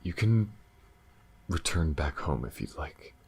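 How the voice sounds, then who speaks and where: A man playing a gentle, battle-weary alien lover in an intimate ASMR roleplay, speaking softly and haltingly with a husky, breathy voice. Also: rumbling low, sing-song, a little hiss or hum, exaggerated voice. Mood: tender, earnest. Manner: soft, halting, hesitant, sighing delivery.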